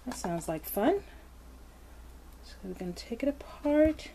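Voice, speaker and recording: Slow, gentle woman narrating toy play. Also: a little high, very animated, husky. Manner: slow, gentle, playful commentary with soft asides. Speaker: toy video host. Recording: background noise.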